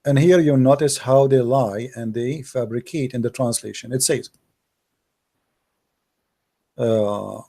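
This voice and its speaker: Brief: male debate host, warm low-key tone, lecturing a caller. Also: slow pace, creaky, long pauses. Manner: questioning, explains patiently, reads passages aloud. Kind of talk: live call-in debate, reading texts aloud.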